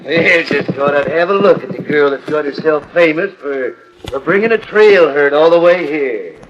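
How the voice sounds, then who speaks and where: An American actor playing a sly, taunting rival rancher with a smug, folksy Western accent in a 1940s radio western. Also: male voice, a little high, expressive, normal speed, natural voice. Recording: muffled, noisy 1940s radio transcription.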